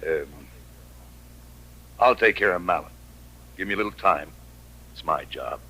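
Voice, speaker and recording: Hard, low-voiced western sheriff. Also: male voice, animated, unhurried, sharp tone, heavily acted. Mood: firm. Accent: American. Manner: terse, fatalistic. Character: hard, world-weary western gunfighter sheriff, cynical and solitary. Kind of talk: old-time radio western acting. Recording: vintage western radio drama recording.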